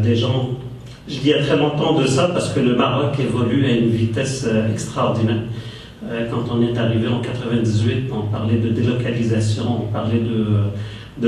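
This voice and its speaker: A man's voice: mid-range voice, mellow, slight background noise. A man born in Morocco, giving a hesitant conference talk full of fillers and restarts. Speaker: panel speaker. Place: large conference hall. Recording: echoey hall, distant mic.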